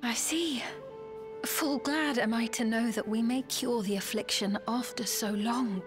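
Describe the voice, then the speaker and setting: Woman playing a gentle young queen, slow delivery, higher voice, formal archaic diction, earnest, video game cutscene. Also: over music, lightly acted. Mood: sorrowful.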